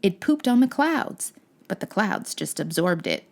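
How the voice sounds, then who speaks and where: A woman's voice: mid-range voice, very animated, a little slow, gravelly. A woman reading a children's storybook aloud as host, with dramatic, playful emphasis. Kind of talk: expressive scripted storybook narration.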